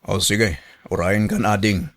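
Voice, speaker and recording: A man's voice: on the low side, steady tone, a little slow. An actor with an Ilocano accent playing a familiar, flirtatious and sympathetic village man in a radio drama. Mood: flirtatious, teasing.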